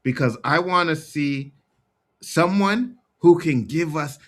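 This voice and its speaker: A man's voice: on the high side, takes it slowly. A man co-hosting a casual chat show, talkative and emphatic, often laughing.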